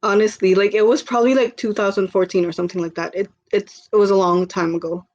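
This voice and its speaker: A woman's voice: mid-range voice, fairly even intonation, quick pace, darkish tone, dull audio. A woman in her twenties from the Philippines, a podcast guest chatting casually with lots of fillers and easy laughter. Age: 20s. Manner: rambling, filler-heavy, laughs easily. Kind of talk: casual chat.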